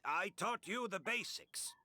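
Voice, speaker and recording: An actor playing a genial, modest older master perfumer in a video game, speaking in a measured, courteous way. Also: male voice, high-pitched, expressive, bright, forceful tone, no room sound, full character voice. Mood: fond, modest. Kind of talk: scripted video game dialogue. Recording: game music behind the voice.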